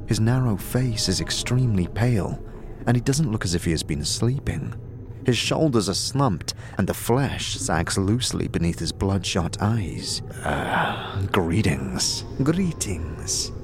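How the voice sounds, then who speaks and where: A man's voice: on the low side, lively intonation, moderate pace, over music. A man reading scripted second-person narration for a fantasy audio drama.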